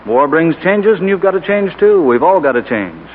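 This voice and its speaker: A man's voice: a little high, big pitch swings, moderate pace. An actor playing a breezy, cocksure advertising man in 1940s radio drama, glib and familiar, bossing his pal along. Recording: tinny, faintly noisy old radio recording.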